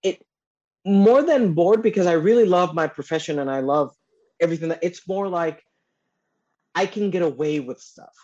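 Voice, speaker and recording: Low-voiced woman client, dull lo-fi sound. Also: warm tone. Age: 30s. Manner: candid, self-reflective, quick agreement. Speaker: coaching client.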